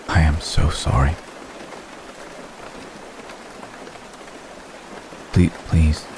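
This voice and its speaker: A man playing an ancient, brooding, remorseful vampire gentleman in an intimate ASMR roleplay, speaking formally and solemnly with long, measured pauses. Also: very deep voice, heavily acted. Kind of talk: ASMR roleplay, intimate slow dramatic monologue. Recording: steady rain noise behind the voice. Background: rain.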